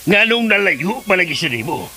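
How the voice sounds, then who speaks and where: A man's voice: high voice, very animated, moderate pace, sharp tone, slightly rough, exaggerated voice. A man with a Cebuano accent playing an earnest, probing middle-aged father in a radio drama. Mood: accusing, insistent. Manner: insistent, questioning. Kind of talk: Filipino radio drama acting. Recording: music under the dialogue.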